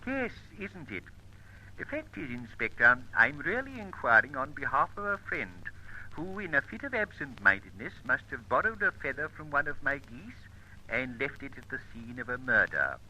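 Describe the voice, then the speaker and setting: Suave, lilting British gentleman rogue. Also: mid-range voice, sing-song, moderate pace, slight background noise. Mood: teasing, suave. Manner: flamboyant, wordy, mock-courteous. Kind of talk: old-time radio detective acting. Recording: muffled old radio drama recording.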